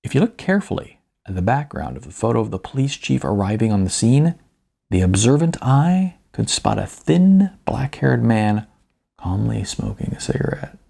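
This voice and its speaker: A man's voice: medium pitch, big pitch swings, moderate pace, creaky, dark tone, husky. A man narrating his own novella as an audiobook in the first person, with a wry, understated delivery and chatty asides.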